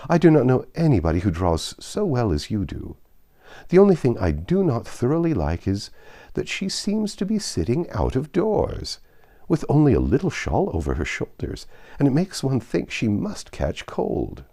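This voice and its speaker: Man voicing a fretful old father, raised pitch. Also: huge pitch swings, moderate pace, dark tone. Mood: doting, fretful. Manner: fussy, worrying, doting. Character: fretful, fond old father, gently fussing gentleman. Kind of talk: storybook character reading.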